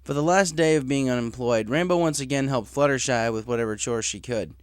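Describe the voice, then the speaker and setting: A man's voice: mid-range voice, brisk, clear, resonant tone, dry recording. Male storyteller, dry close sound. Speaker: audiobook reader of fan fiction. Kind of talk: scripted story narration.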